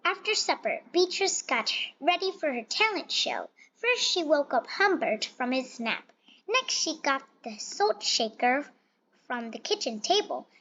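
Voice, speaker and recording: High-pitched woman reading a picture book, muffled. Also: sing-song, unhurried, bright, forceful tone. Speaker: storybook reader. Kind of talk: children's picture book read-aloud. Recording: dull, lo-fi sound.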